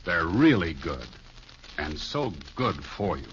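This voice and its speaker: Low-voiced vintage radio ad man. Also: sing-song, takes it slowly, a bit bright, faint music behind. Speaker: commercial announcer. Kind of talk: 1950s radio advertising read. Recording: dull old radio recording, faint noise.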